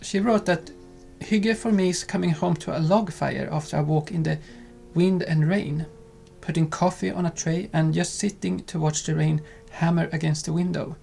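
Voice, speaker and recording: A man co-hosting a lifestyle vlog, speaking calmly and unhurriedly. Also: on the high side, normal speed, vocal fry, few pauses, soft background music. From Sweden. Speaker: vlog co-host. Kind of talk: casual chat and sponsor read.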